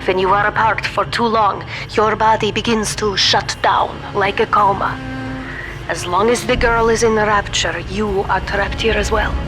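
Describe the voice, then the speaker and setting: A woman plays an earnest ally guiding the hero of a video game. She explains gravely and with urgency in a mid-range voice, with music clearly behind her. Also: medium pitch, close to an everyday voice. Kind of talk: video game dialogue acting.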